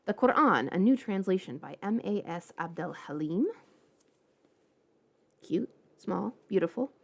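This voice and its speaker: A woman's voice: medium pitch, lively intonation, a little slow, creaky. A woman hosting a livestream, chatting casually and playfully with informal asides. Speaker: livestream host.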